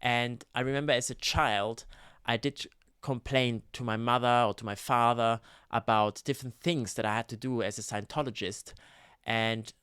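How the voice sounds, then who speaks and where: A man, telling his own story in a gentle, campy vlog, with hesitant fillers. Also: medium pitch, steady tone, normal speed, clear, resonant tone, no echo, studio quality. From Switzerland. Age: 30s. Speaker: YouTuber, ex-Scientologist. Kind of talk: personal testimony vlog.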